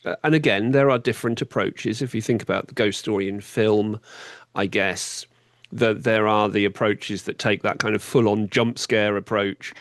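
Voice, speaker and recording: A man's voice: on the low side, steady tone, normal speed, dry recording. A British man hosting a podcast interview, talking conversationally and reflectively, building points through repetition and questions.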